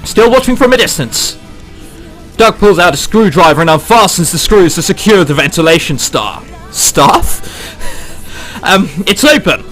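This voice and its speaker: Very animated, high-voiced man over music. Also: a little slow. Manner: incredulous, animated, sweary. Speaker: gamer reading and reacting to a visual novel. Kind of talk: let's play commentary, reading aloud with running jokes. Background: game background music.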